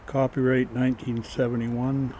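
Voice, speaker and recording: A man giving a casual show-and-tell as a toy collector, low-key and matter-of-fact, on an amateur camera microphone. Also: flat delivery, moderate pace, dark tone, slight background noise. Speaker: toy collector showing his finds.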